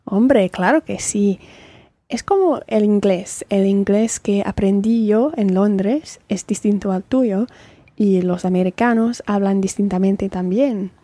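A woman voicing a chatty character in a scripted textbook dialogue, speaking in a clear, measured way. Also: medium pitch, dark tone, dry recording. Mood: friendly.

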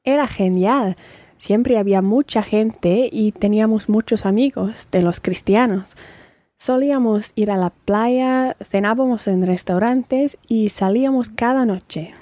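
A woman voicing a chatty character in a scripted textbook dialogue, speaking in a clear, measured way. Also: medium pitch, mellow, no echo, natural voice. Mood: friendly.

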